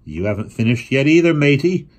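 A man voicing a cheerful, brisk father who teases his sons. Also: medium pitch, big pitch swings, pitched above the performer's own voice.

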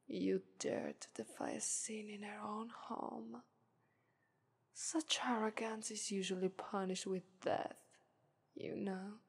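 A woman's voice: medium pitch, fairly even intonation, heavily acted. A woman voicing an arrogant, seductive female demon of lust in a whispered ASMR roleplay, with condescending, teasing taunts. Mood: menacing. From Italy. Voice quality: breathy whisper, slow and teasing. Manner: condescending, purring "ara ara" taunts. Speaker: voice actress.

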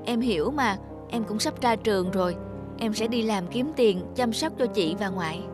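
A woman's voice: mid-range voice, moderate pace, music in the background, close to an everyday voice. A woman voicing a devoted, eager young grandson in a cartoon, earnest and respectful. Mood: determined. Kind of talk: cartoon voice acting.

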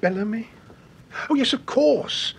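An actor playing a brisk, authoritative senior police chief in an old radio detective serial. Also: male voice, very high-pitched, animated, creaky, husky, heavily acted. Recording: vintage radio recording with background noise.

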